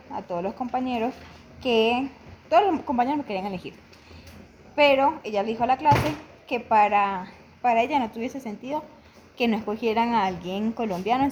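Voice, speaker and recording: A young woman from Venezuela, answering interview questions about her life in a reflective, halting way. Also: a little high, a little mellow, a little hiss or hum. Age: young adult. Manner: reflective, halting, with frequent restarts. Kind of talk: personal testimony interview.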